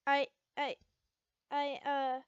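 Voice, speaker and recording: A woman voicing a bashful, flustered teenage boy in a story, with halting, stammering speech. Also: high voice, monotone, dry recording. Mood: shy, flustered. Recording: muffled lo-fi home recording.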